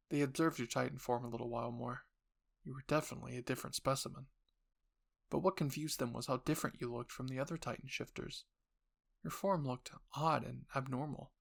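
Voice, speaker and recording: A man's voice: medium pitch, vocal fry, husky. A man narrating a scripted second-person story as the host of a roleplay channel.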